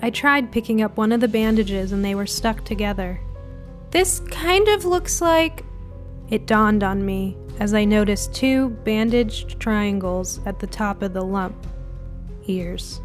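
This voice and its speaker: A woman's voice: mid-range voice, fairly even intonation, a little slow, soft background music. A woman reading a scripted first-person spooky short story as its narrator.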